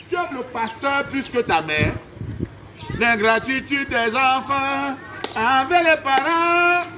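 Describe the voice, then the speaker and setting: A man hosting a charity appeal as a singer, moving between call-and-response singing and casual, directive banter in animated, drawn-out phrases. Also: extremely high pitch, background noise.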